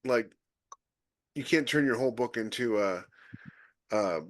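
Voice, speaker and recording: A man's voice: mid-range voice, unhurried, dry recording. Opinionated man, dry close sound. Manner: opinionated and emphatic. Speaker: podcast co-host. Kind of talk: casual panel discussion.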